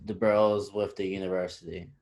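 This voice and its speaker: A man's voice: sits low, flat delivery, brisk, a little mellow. A man interviewing a guest, asking questions in a casual, somewhat hesitant way.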